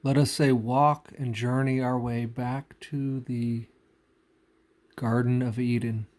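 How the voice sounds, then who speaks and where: A man giving an unscripted spiritual monologue in halting, deliberate phrases with pauses and repetition. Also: mid-range voice, little pitch movement, darkish tone. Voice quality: frequent throat clearing. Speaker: spiritual speaker.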